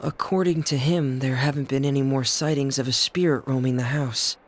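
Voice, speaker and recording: An actor playing a somber, earnest young man in a video game, speaking in a measured, reflective way. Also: mid-range voice, steady tone, natural voice. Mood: calm, relieved. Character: somber soft-spoken young man, orphan raised in harsh house. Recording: game audio under music.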